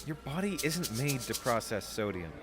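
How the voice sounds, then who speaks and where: A man's voice: medium pitch, big pitch swings, normal speed, a bit bright, soft background music. A man playing an anxious, polite young leader of a space biker squad in an audio drama, hesitant and nervously rambling. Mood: fussy.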